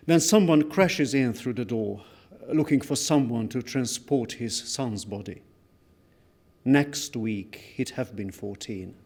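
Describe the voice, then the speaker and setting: Male literary reader, mid pitch, slow and measured with dramatic phrasing. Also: a little mellow. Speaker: reader at a book launch. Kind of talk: literary reading aloud.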